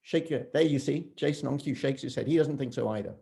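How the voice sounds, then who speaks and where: Male conference speaker, mid-pitched relaxed voice, halting scholarly delivery with self-interruptions. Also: vocal fry. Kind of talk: academic talk, loosely delivered from notes.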